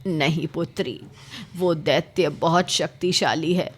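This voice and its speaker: A woman's voice: low-pitched, slow, husky, pitched below the performer's own voice, full character voice. A woman putting on a distraught queen. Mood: anxious. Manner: anxious, pleading. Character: worried grieving queen.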